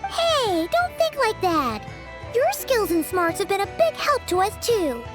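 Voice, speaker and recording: A small, childlike fairy companion from a video game. The voice is extremely high and swings up and down with cheerful, exclamatory energy. Music plays clearly underneath. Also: female voice, big pitch swings, a little slow, exaggerated voice. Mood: bright, friendly. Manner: perky, exclamatory, speaks of self in third person.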